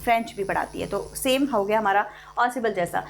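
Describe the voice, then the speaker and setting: A young Indian woman teaching an English class online, explaining in Hindi mixed with English and reading English passages slowly. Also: medium pitch, faint music behind.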